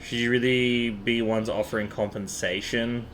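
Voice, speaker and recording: A man's voice: mid-range voice, flat delivery, moderate pace, fairly bright tone, slight background noise. A man chatting casually as he streams a game from a room at home. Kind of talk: casual live stream chat. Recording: roomy, echoey stream with faint music. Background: faint game music.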